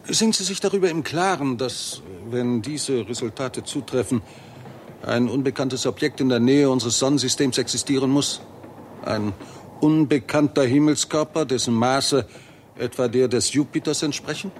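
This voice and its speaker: A man acting an eminent, scornful professor in a vintage sixties German radio drama. He speaks with sarcastic indignation at a middling pitch, with vehicle noise clearly audible behind him. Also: normal speed, lightly acted. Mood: sarcastic, challenging. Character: scornful, irascible eminent British astronomy professor. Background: vehicle interior noise on a drive.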